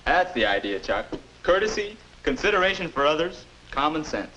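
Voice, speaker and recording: A man's voice: a little high, sing-song, moderate pace, pushed and bright. An American actor in his twenties playing a patient, encouraging older self instructing a boy in 1950s educational film style. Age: 20s. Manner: instructive, encouraging, neatly spoken. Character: patient, upbeat 21-year-old older self mentoring a boy. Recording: noisy old 1950s film soundtrack.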